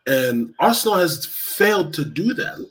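A man's voice: fairly high pitch, big pitch swings, measured pace, low-quality recording. A man on a football podcast panel, making his point emphatically with lots of "like". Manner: emphatic, leans on "like". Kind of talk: casual football chat.

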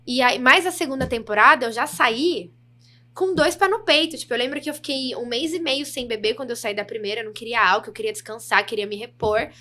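A woman's voice: a little high, fast. A young woman from the São Paulo interior, a podcast guest telling candid stories in casual chat, laughing easily. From interior of São Paulo state. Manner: candid, laughing, lively storytelling. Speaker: podcast guest, reality show participant.

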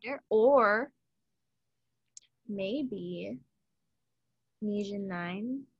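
A woman's voice: medium pitch, extremely slow, breathy edge, no room sound, dull audio. A young woman from Hawaii co-hosting a podcast in casual chat, hesitant and searching for words, then gushing. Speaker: podcast co-host.

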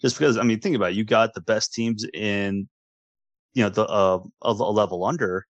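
An American man with a low voice chats casually as a sports podcast co-host. He comes across as agreeable, feeling his way through sentences and repeating words. The recording is dry, without room sound. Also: a little fast, no echo, dull audio. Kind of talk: casual chat.

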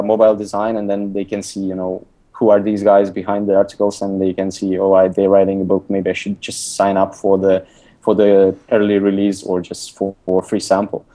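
A man's voice: on the low side, mellow. A young man from Lithuania, a non-native speaker of English, talking casually as an interview guest with many fillers and restarts. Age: young adult. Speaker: guest, app designer and author. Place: recording remotely from abroad.

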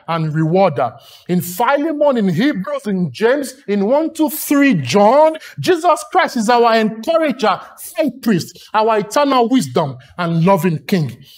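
Nigerian man, preacher, sermon, animated pitch, rhythmic repetition. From Lagos, Nigeria. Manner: emphatic, rhythmic repetition and long lists.

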